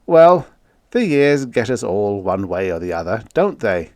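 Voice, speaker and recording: A man's voice: on the low side, big pitch swings, a little slow, darkish tone, no echo. A man voicing a genial, avuncular elder president in an audiobook, with an emphatic, hearty manner. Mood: hearty, eager. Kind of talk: audiobook character acting.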